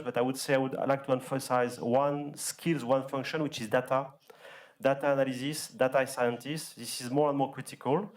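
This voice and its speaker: Man making emphatic panel remarks. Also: mid-range voice. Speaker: company executive on a panel. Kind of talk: round-table talk.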